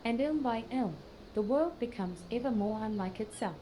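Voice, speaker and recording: A woman's voice: a little high, expressive, warm tone, slight background noise, natural voice. An actress playing an earnest, scholarly female sage in a fantasy game, pensive and formally spoken. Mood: solemn reflection. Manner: measured, pensive, archaic phrasing. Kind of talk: scripted fantasy game dialogue.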